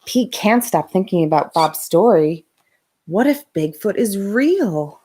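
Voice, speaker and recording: Slow, expressive woman reading a bedtime story. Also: medium pitch, slightly rough. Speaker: host of a bedtime story read-aloud channel. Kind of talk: expressive children's storybook narration.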